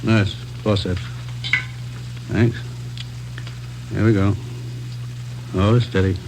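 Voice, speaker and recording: An actor playing a brisk, businesslike male doctor in a 1950s radio drama, giving crisp clinical orders. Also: lowish voice, flat delivery, a little mellow, slight background noise, low-quality recording.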